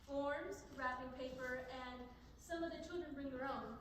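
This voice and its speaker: A gentle schoolteacher acted by a woman with a high voice, patient and coaxing. She is heard at a distance on a very echoey stage. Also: moderate pace, natural voice. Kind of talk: stage play acting. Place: stage in an echoing hall, live performance. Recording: distant mic in echoing hall, faint noise.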